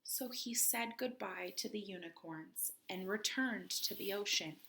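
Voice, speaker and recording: A woman reading a children's picture book aloud expressively, with dramatic pauses, chatty asides and questions for her young listeners. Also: medium pitch, creaky, pushed and bright, slight background noise. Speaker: children's read-aloud host.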